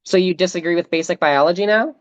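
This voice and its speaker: A woman streamer debating a stranger, measured and precise, making her points carefully. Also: on the low side, dry recording, dull audio. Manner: measured, precise, cites a textbook. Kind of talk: calm argumentative debate.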